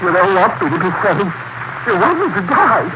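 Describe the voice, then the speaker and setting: An actor playing a psychologist turned killer in 1940s radio style, intense and melodramatic, self-pitying and halting when he pleads. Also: high-pitched, very animated. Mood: desperate, afraid. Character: psychologist turned murderer, volatile, self-pitying, desperate man. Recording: noisy old radio drama recording.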